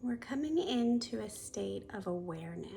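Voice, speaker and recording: A woman yoga teacher leading a guided meditation in a soft, soothing, gently instructive voice that often trails into a breathy near-whisper. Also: medium pitch, animated, moderate pace, a little hiss or hum.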